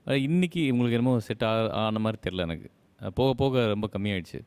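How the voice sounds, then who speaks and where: A man's voice: lowish voice, lively intonation, fast, darkish tone, soft background music. A man giving encouraging, candid feedback as a singing competition judge. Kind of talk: informal spoken feedback to contestants.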